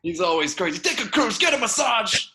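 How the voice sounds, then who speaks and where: A man's voice: sits high, very animated, brisk, bright, forceful tone, slight background noise, heavily acted. Panicky, shifty alien shopkeeper, read by a man, whining and stammering in a piercing tone, table read. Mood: pleading. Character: shifty, panicky male alien pawnshop dealer. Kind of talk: amateur at-home table read of a film script.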